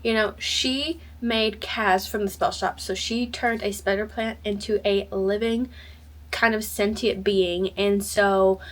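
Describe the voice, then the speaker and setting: A woman's voice: mid-range voice, steady tone, slow pace. A woman chatting casually to camera about books, rambling and full of filler words. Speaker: book vlogger. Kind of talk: casual book chat to camera.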